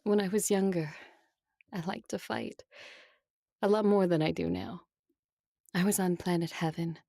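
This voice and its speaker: A woman acting a composed, tender and teasing vampire in an audio drama, with an intimate delivery and a husky voice. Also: on the low side, mellow, no room sound, studio quality, exaggerated voice. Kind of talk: first-person audio drama acting and narration.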